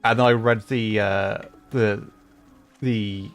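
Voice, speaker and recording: A man hosting a casual gaming livestream, talking in a hesitant, measured way as he plays. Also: lowish voice, fairly even intonation. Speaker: livestream host, gamer. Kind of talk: casual livestream chat while playing a video game. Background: music.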